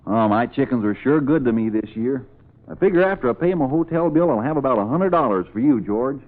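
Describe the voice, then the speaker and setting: Man playing folksy farmer, courteous, lively intonation, vintage radio drama, narrow-band recording. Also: medium pitch, a little fast, natural voice. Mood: friendly, earnest. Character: polite, folksy country farmer, cheerful and simple. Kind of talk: 1940s radio acting. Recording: tinny 1940s radio recording.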